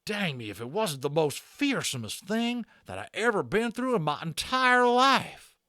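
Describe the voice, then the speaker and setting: Storybook cowboy, drawl, high-pitched, rough and good-hearted, exclaiming. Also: male voice, very animated, measured pace, bright, forceful tone, dry recording, studio quality, heavily acted. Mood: astonished. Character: rough, folksy old-West cowboy, loud and good-hearted.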